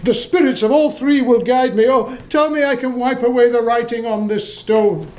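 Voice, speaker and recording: Radio drama lead: repentant old miser, high-pitched, stammering, theatrical. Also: male voice, normal speed, darkish tone. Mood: desperate pleading. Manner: stammering, breathless exclamations. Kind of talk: stage-like radio play acting.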